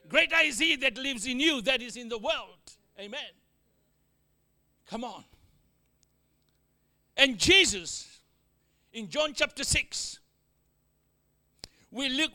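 A man with a Fijian accent delivers a sermon in a very high, piercingly bright voice. He punctuates his points with amens and call-outs to the congregation. He drops in joking asides and swings from loud declarations to near-murmurs. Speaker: preacher.

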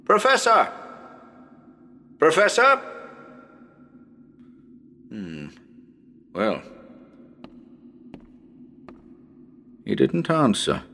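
A man's voice: fairly high pitch, wildly swooping pitch, drawn-out words, creaky, pauses often, bright, forceful tone, full character voice. A man playing a nervous radio broadcaster on a ghost hunt in a dramatic reading, talking to listeners in a chatty, hesitant, uneasy way. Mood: calling out, then growing nerves. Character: nervous male radio broadcaster ghost hunting in haunted house.

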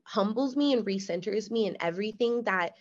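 A young woman hosts the conversation. Her voice sits at a middle pitch and the audio sounds dull and lo-fi. She speaks earnestly and enthusiastically, dropping in "like" as she goes. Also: fairly quick. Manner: earnest, enthusiastic, uses "like" as filler. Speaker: podcast host. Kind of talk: casual interview hosting.

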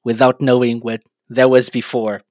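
A man's voice: mid-range voice, level tone, normal speed, darkish tone, dry recording. Male host from Portugal, hesitant questioning style, dry close recording. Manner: hesitant, many "uh" fillers and restarts. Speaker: podcast host. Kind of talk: casual interview chat.

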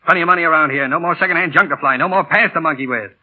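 A brusque airline chief from forties radio drama played by a man, heard through thin, band-limited vintage sound. He rattles out slangy, commanding lines in a somewhat high voice and a clipped, no-nonsense manner. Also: racing, phone-quality sound, lightly acted. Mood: firm, insistent. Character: tough, brusque boss of a small mail airline. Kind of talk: 1940s radio acting.

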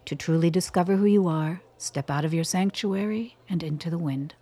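A woman in a radio play playing an enigmatic, self-assured woman with an unhurried, cryptic and teasing manner. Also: on the low side, dark tone, lightly acted. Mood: distant, musing. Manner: unhurried, cryptic, at times distant.

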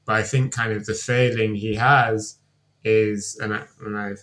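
A man's voice: lowish voice, little pitch movement, measured pace, a bit bright. A male guest writer in casual political discussion, hedging often with "kind of" fillers.

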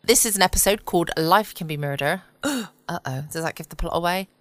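English woman host, rather low voice, dry and close, soothing chatty delivery. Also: animated, brisk, no echo. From England. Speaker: podcast host. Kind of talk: cozy late-night podcast chat. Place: home.

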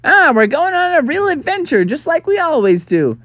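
Man doing a high-pitched cheeky little duck boy. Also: big pitch swings, normal speed, a bit bright, no echo, exaggerated voice. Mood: cheeky, breezy. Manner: chirpy, carefree. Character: cheeky, adventurous little boy cartoon ducks.

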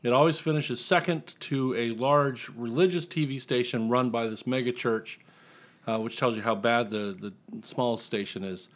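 A man's voice: mid-range voice, normal speed. A man speaking as a guest author on a podcast, telling casual stories in a wry, joking way. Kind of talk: casual interview storytelling.

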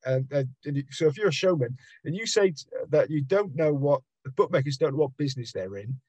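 A man interviewing casually, often halting and rephrasing his questions. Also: on the high side, animated, mellow, breathy edge, no echo, dull audio.

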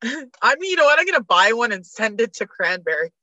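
A woman's voice: a little high, sing-song, brisk, pushed and bright, dry recording, rough recording. A woman guest on a sports podcast, chatting casually with blunt opinions and frequent laughter. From Canada.

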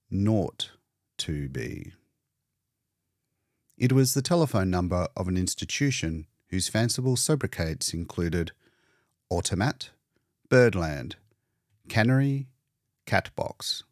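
Deep, unhurried male storyteller. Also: a little mellow, dry recording, studio quality. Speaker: audiobook narrator. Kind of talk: scripted story narration.

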